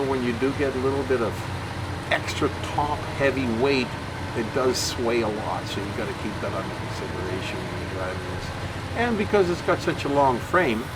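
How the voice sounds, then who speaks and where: Man's folksy game commentary over loud engine noise. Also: mid-range voice. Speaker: gaming channel host. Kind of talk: casual gameplay commentary. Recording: clear steady engine and vehicle noise. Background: truck engine sounds from the video game.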